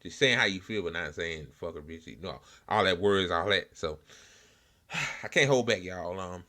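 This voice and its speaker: An American man hosting a political commentary show, speaking casually and unscripted in an informal, halting way. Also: low-pitched, pushed and bright. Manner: informal, hesitant, halting delivery with blunt slang.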